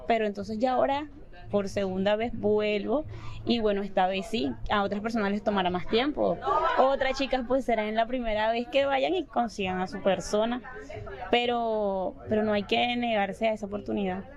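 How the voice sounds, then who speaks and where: A woman's voice: medium pitch, fast talker, muffled recording, crowd noise. A woman interview guest who talks hesitantly and restarts her phrases. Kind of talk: casual interview chat.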